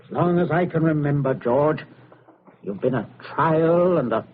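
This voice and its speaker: A man's voice: fairly high pitch, measured pace, narrow, tinny audio, a little hiss or hum, natural voice. An actor playing a pompous, domineering middle-aged English father in a 1940s radio drama, peremptory and exasperated. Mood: bossy, irritated. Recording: old band-limited radio recording, music behind.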